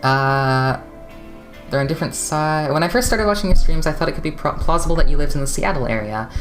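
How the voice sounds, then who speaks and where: A woman's voice: low voice, lively intonation, a little fast, soft background music, rough recording. A young Canadian woman with a West Coast accent, chatting casually with her stream viewers, rambling with lots of fillers and frequent laughs.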